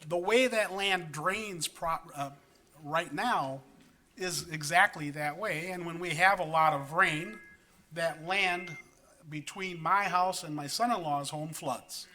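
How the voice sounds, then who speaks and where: A man from Wisconsin speaking at a city plan commission meeting, earnest and halting, with many ums and restarts. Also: on the high side, pushed and bright. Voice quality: big voice. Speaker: resident speaking at public comment. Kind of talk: public meeting comment. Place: council chamber.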